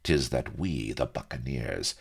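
A man's voice: a bass voice, unhurried, creaky, slightly rough, exaggerated voice. A man voicing a foppish, swaggering pirate who mocks in affected archaic speech. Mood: jeering, proud. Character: foppish, jeering, swaggering male pirate dandy.

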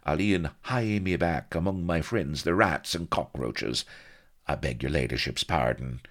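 A man's voice: deep voice, sing-song, measured pace, a bit bright, voice pushed up, heavily acted. A man voicing a sly rogue who feigns meek, wounded innocence with theatrical, mock-humble delivery in a comic storybook.

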